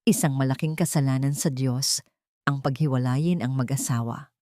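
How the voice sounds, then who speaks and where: A woman voicing a manipulative older mother-in-law in an audio drama, sharp, reproachful and guilt-tripping. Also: rumbling low, normal speed, dry recording, clean studio sound, pitched below the performer's own voice.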